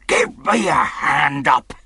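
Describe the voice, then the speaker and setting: A man voicing a menacing, wrathful pirate leader in an audiobook, rough and growling, speaking in rustic sailor dialect. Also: a little high, wildly swooping pitch, slow, sharp tone, dry recording, studio quality, voice pushed up, heavily acted. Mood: furious, threatening. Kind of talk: audiobook character acting.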